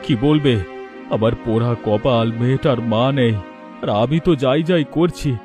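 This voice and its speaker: Man acting a mellow, plaintive widowed father. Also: medium pitch, normal speed, close to an everyday voice. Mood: worried, weary. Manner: plaintive, lamenting. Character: anxious, self-pitying widowed father of grown daughter. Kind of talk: melodramatic cartoon dialogue. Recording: music behind the voice.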